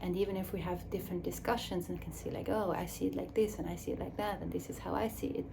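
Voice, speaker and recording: A woman speaking English as a second language in a slow, searching reflective talk, with restarts and soft drops to a near whisper. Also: on the low side, steady tone, creaky, background noise. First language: Swiss German or Dutch. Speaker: speaker on her own channel.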